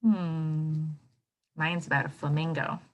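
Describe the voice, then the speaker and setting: A woman's voice: sits low, fairly even intonation. A woman teacher leading an interactive read-aloud lesson for young children, encouraging them with questions and speaking with exaggerated expressiveness. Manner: encouraging, prompting with questions and pausing for answers. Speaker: elementary school teacher.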